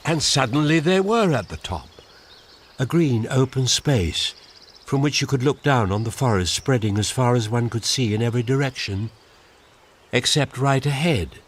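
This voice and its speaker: An actor playing the calm storyteller of a fantasy radio drama, narrating over faint outdoor ambience. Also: male voice, mid-range voice, moderate pace, slight background noise, close to an everyday voice. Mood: measured.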